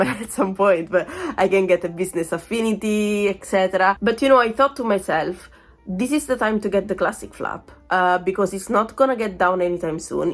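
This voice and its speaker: A woman in her thirties chatting through a vlog unboxing, still getting over a cold, rambling with plenty of fillers and small laughs. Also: medium pitch. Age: 30s. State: recovering from a cold, voice sounds off. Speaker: vlogger. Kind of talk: casual unboxing chat.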